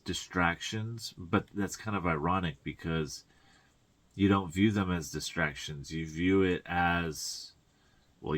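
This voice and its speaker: Male spiritual coach, very low voice, informal solo delivery, reflective and halting, with colloquial asides. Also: fairly bright tone. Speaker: tarot reader and spiritual guide.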